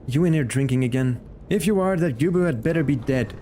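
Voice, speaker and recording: A man's voice: medium pitch, animated, brisk, warm tone, a little hiss or hum, close to an everyday voice. Man acting a scornful, suspicious game chief. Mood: suspicious, testing. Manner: scornful, challenging. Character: gruff, suspicious, foul-mouthed chief of a tough crew. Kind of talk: reading game dialogue aloud in character.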